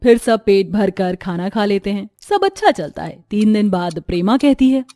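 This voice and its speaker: A woman narrating a scripted animated moral story as its storyteller. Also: medium pitch, normal speed, no room sound, clean studio sound.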